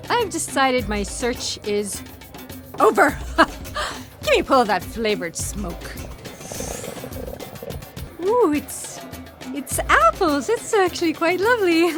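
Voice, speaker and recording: An actress playing an exasperated, teasing woman paleontologist in audio theatre, with an animated, complaining manner. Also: high-pitched, faint music behind, full character voice. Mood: impulsive. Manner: animated, complaining, quick to laugh.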